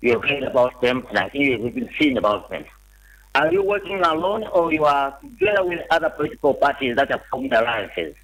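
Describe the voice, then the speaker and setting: A man's voice: medium pitch, very animated, brisk, a bit bright, rough recording. An older man calling in to ask a question, speaking politely and formally with some hesitation. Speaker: caller. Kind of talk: phone-in question.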